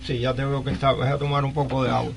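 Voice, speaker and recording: An older man with a Cuban accent hosting a live radio talk show, speaking haltingly and repeating phrases. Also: mid-range voice, level tone, gravelly, over music. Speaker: radio host. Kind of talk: live call-in talk, recounting and interviewing.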